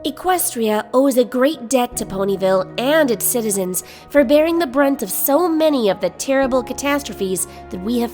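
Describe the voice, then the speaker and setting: A woman's voice: fairly high pitch, darkish tone, close to an everyday voice. A woman playing an earnest, polite young pony princess, speaking articulately in a fan fiction audio drama.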